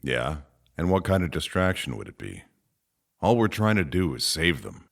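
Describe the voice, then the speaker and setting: A man's voice: extremely low pitch, very animated, moderate pace, creaky, heavily acted. A man playing a blunt, challenging spaceship crew member in a sci-fi audio drama, questioning others.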